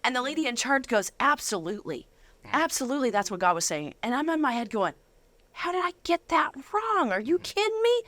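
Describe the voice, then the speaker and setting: A woman's voice: high-pitched, sing-song, a little fast, a bit bright, no room sound. A middle-aged woman hosting a conversational faith interview in an effusive, affirming manner. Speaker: interview host.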